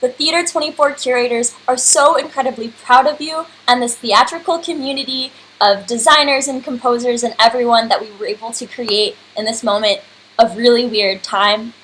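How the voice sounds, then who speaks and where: Female host, early 20s, higher-pitched, casual and effusive, slight background noise. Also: normal speed, fairly bright tone. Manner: effusive, informal. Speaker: host and curator. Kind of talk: casual unscripted livestream address. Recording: clearly noisy home computer livestream.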